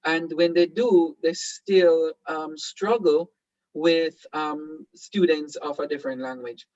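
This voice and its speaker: A man's voice: fairly high pitch, fairly even intonation, slow, a little mellow, no echo, highs cut off. A Jamaican man whose first language is Patois hosts an online seminar, talking hesitantly with many fillers and restarts. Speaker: host.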